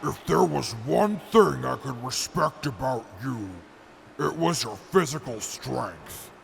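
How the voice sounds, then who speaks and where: A man's voice: mid-range voice, animated, slow pace, gravelly, slight background noise, exaggerated voice. A man playing a haughty, grudging adventurer in an audio drama, speaking stiffly and formally with condescension. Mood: grudging respect.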